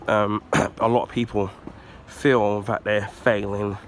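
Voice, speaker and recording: A man from the UK with a husky, congested voice from the flu, giving a casual rambling rant with many ums and throat clearing. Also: on the low side, little pitch movement, takes it slowly, a little hiss or hum. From United Kingdom. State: husky, recovering from flu, blocked nose. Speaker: YouTuber.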